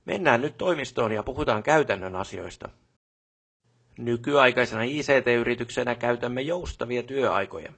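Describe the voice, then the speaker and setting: An actor playing a businesslike male company boss in a video game, talking matter-of-factly in corporate jargon and brisk orders. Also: medium pitch, fairly bright tone. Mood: businesslike, instructive. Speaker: boss instructing employees. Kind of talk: video game character dialogue.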